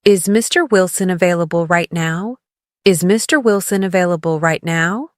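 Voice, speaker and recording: A woman leading a scripted English lesson as a teacher, encouraging the learner and clearly enunciating model phrases for repetition. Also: on the low side, normal speed, no room sound, studio quality. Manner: encouraging coaching.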